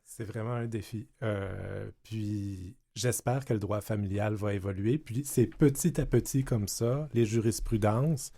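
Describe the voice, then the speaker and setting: Mellow, measured man. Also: fairly low pitch, gravelly. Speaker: community center director, panel guest. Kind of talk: round table discussion.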